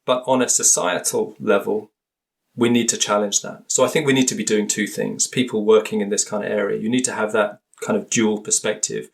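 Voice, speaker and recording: A man's voice: lowish voice, steady tone, a little fast, vocal fry. A man speaking as a guest linguist in an interview, thoughtful and hesitant, often restarting his sentences and searching for words. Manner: hesitant, many restarts and fillers.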